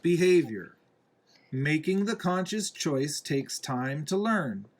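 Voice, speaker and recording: A man reading a children's picture book aloud in a deliberate way, pausing between phrases. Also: fairly high pitch, big pitch swings, a little hiss or hum. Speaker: reader, parent reading to a young child.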